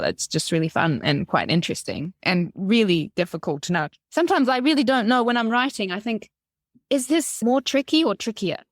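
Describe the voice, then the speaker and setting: A woman who is a non-native speaker of English, talking as a linguist guest in a relaxed chat, with fillers and laughter. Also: mid-range voice, dry recording, clean studio sound. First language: not English. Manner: chatty, laughing, lots of fillers. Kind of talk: casual chat.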